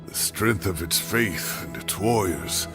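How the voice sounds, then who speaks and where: An actor playing a weary, secretive old scholar in a video game, speaking in a grave, measured and dramatic way. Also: male voice, deep voice, lively intonation, music in the background. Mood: solemn, confessional. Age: older. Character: weary secretive old male scholar, guilt-laden mentor.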